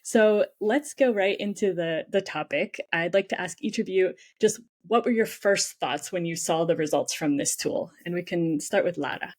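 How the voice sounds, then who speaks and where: A woman's voice: fairly low pitch, normal speed, few pauses, darkish tone. A woman hosting a podcast in a casual, upbeat way, often saying "like" and nodding along with "mm-hmm.". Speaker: podcast host.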